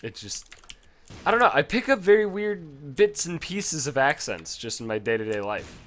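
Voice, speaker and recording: Male let's-play host, animated delivery, casual and profane, prone to loud outbursts. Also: a little high, moderate pace. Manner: swears freely, yells in bursts of frustration. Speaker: gaming commentator.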